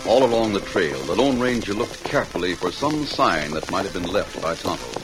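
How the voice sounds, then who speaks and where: A man narrating a 1940s radio drama as announcer, in a formal, dramatic, measured delivery. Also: low voice, sing-song, over music. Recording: noisy, hissing vintage radio recording.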